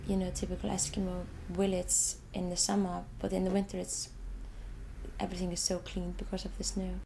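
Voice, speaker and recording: A woman's voice: lowish voice, completely flat delivery, brisk, vocal fry, dark tone, slight background noise, rough recording. An Icelandic woman who speaks English as a second language. She is an artist talking hesitantly about her photographs, often repeating words and restarting sentences. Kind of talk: interview, describing her photographs.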